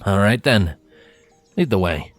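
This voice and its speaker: Courtly gentleman pony , voiced by a male reader, lowish pitch, polite and smooth-talking, over music. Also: very animated. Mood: agreeable. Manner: courtly, measured, suave.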